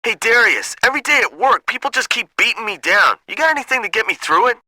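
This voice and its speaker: A man's voice: very high-pitched, sing-song, fast, pushed and bright, dry recording, heavily acted, rough recording. An actor playing a needy, agitated man calling in to a video game radio show. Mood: weary, pleading.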